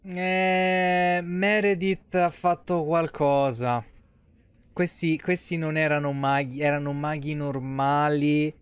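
A man's voice: a little high, flat delivery, slow pace, clear, resonant tone, no room sound. A young man doing casual, slangy live game commentary with some swearing. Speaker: game streamer. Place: small indoor room, webcam stream.

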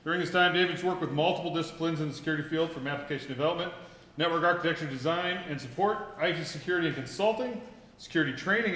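Quick-talking male host with a raised pitch, reading an intro in an echoey hall. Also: a bit bright, slight background noise. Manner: brisk, reads off a bio. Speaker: conference host introducing speaker. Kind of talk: read-out speaker introduction.

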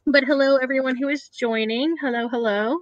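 A woman's voice: high voice, steady tone, brisk, no room sound. An American woman in her thirties chatting casually and giggly as she hosts an author event over a video call. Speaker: host, bookseller. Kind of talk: casual chat introducing an author reading. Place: small home office with shelves. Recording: dull, lo-fi video call audio.

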